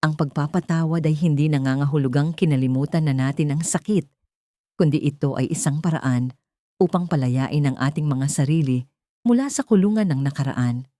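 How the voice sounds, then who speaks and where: Woman, lowish pitch, dry close sound, dramatic audio story narration, earnest and sentimental. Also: moderate pace, mellow, dry recording.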